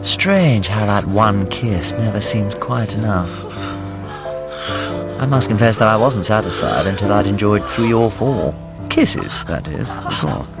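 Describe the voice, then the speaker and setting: A man's voice: fairly low pitch, vocal fry, full character voice. An actor playing a young married adventurer, narrating his tale with wry, self-mocking humour in a radio drama. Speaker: narrator and lead.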